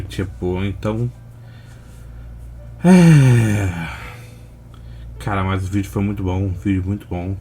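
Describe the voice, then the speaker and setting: Youngish brazilian dude, kinda low voice, rambling reaction talk with pauses n slang, bit of music under it. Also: male voice. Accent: Brazilian Portuguese. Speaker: reaction video host. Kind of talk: casual, unscripted reaction commentary.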